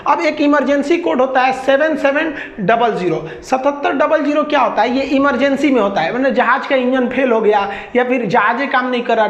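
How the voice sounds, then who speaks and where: A man with a Bihari accent giving an informal lecture as a teacher, colloquial, asking questions and answering them himself. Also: very high-pitched. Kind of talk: informal classroom lecture explaining with everyday examples. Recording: echoey room, dull muffled sound.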